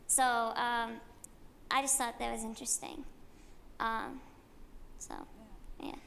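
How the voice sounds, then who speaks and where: A woman in an audience sharing an informal personal comment, halting and peppered with like. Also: fairly high pitch, level tone, gravelly, pushed and bright. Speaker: audience member sharing experience. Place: large conference hall, audience floor. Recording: hall reverb, faint background noise.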